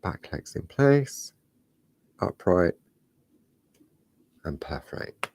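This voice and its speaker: A man with a slightly croaky voice, demonstrating a parchment craft step by step and chatting to himself as he works. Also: low voice, sing-song, very slow, darkish tone. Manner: chatty, talks to himself while working. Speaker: craft tutorial host.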